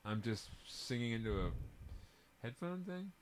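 A man's voice: medium pitch, animated, measured pace, no echo. A man chatting casually in a blunt, sweary way, with faint noise behind him. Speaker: singer in a band. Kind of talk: casual chat.

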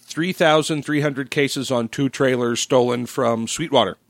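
A man with a Midwestern American accent on a casual podcast, reading a news item in a dry, steady way. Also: medium pitch, a little slow, no echo.

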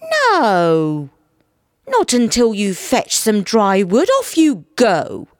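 A woman voicing a bossy, sharp-tongued woodman's wife with a shrill, scolding manner in a storybook tale. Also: wildly swooping pitch, slow pace, no room sound, clean studio sound. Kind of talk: storybook character voice.

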